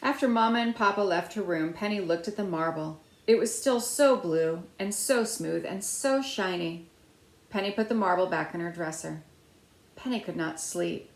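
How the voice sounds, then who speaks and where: A middle-aged woman reading a children's picture book aloud with deliberate phrasing. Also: fairly low pitch. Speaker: storybook reader. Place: small room at home by a window, indoors. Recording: roomy, echoey indoor sound.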